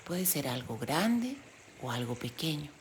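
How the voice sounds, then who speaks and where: Very low-voiced woman guiding meditation over waves. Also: a little hiss or hum. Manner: slow, soothing, gentle delivery with pauses. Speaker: meditation guide, yoga teacher. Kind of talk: guided meditation narration. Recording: steady loud sea-wave background sound. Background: sound of ocean waves.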